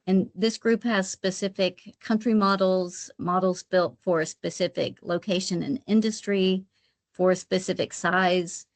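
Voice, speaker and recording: A woman giving an explanatory webinar presentation step by step, sometimes repeating her phrases as she goes. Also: lowish voice. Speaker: guest presenter, credit rating specialist.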